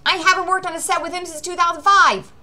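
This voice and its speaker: A woman's voice: extremely high pitch, steady tone, quick pace, pushed and bright. An American woman giving an unscripted personal monologue in a rambling, aggrieved, insistent manner. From US East Coast. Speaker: actor recording a personal video.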